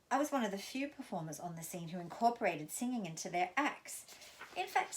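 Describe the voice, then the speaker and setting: A woman from London, reading her own memoir aloud in an expressive storytelling way. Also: medium pitch. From London, England.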